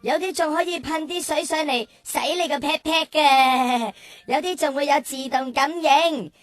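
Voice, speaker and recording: A woman voicing an excitable, cheeky young child in a children's audio drama, playful and full of exclamations. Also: sits high, moderate pace, natural voice. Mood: excited.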